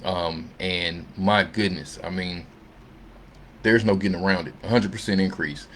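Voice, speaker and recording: A man with a low-pitched voice presents financial results informally, as if talking through slides without a script. His delivery is conversational and halting, with frequent little tag questions. A faint noise sits behind his voice. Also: fairly even intonation. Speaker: presenter of an investment earnings summary. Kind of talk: informal unscripted financial commentary over slides. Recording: noticeable background noise.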